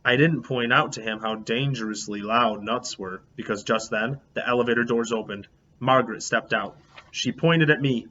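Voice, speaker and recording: A man reading a children's book aloud in an animated, expressive way, as a teacher would. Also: medium pitch, normal speed. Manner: expressive, acts out dialogue. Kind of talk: animated storybook read-aloud. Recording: dull lo-fi, clear background noise.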